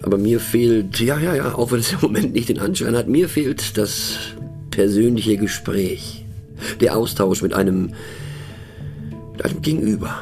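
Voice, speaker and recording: A man narrating a satirical radio play as a pensive, mocking monologue, musing conversationally with halting, emphatic phrasing. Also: medium pitch, lively intonation, darkish tone, faint music behind. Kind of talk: scripted monologue performed as musing conversational talk.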